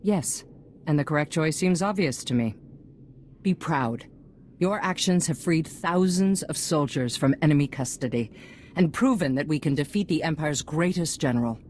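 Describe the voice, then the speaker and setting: Low-voiced, stately female chancellor. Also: animated, normal speed, darkish tone, slight background noise. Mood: firm, resolute. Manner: stately, polished politician's delivery. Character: poised, self-assured female head of a galactic government. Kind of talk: video game cutscene voice acting.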